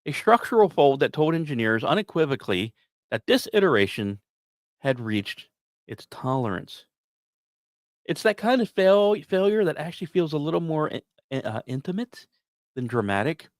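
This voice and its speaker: A man hosting a podcast, reading scripted narration with casual asides in a storyteller's manner, with dramatic pauses and emphasis. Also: on the high side, very animated, no room sound, studio quality. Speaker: podcast host.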